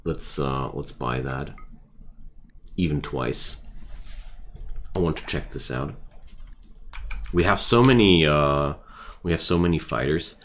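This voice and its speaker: A man's voice: extremely low pitch, slow, pauses often, a little hiss or hum. A man who is not a native English speaker, chatting casually and rambling with viewers while streaming a game. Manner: rambling and chatty, restarts thoughts.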